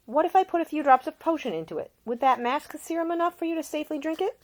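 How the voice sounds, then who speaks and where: A woman's voice: sits high, fast talker, voice pushed up, lightly acted. A woman voicing a caring, earnest and proper young woman in a storybook, solicitous and polite.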